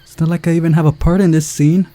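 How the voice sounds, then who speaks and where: A man's voice: a little high, little pitch movement, fast, dark tone, no echo, voice pushed up, lightly acted. A man voicing a grumbling young woman on a film crew in a visual novel, sulky and complaining.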